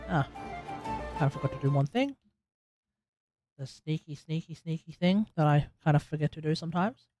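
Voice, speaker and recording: Male game streamer, Australian, fairly high voice, casual live chat, faint music underneath. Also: moderate pace, warm tone, dry recording, dull audio.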